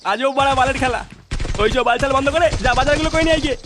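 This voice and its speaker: A man voicing a bad-tempered, foul-mouthed father in a comedy cartoon, nagging and threatening in a pitched-up voice. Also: racing, background noise. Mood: scolding.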